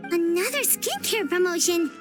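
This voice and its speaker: A woman voicing a tiny, perky, childlike fairy companion in a video game, squeaky, chatty and excitable. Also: normal speed. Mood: perky, curious. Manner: squeaky, excitable, refers to herself by name. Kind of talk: English video game voice acting. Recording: game dialogue over prominent music.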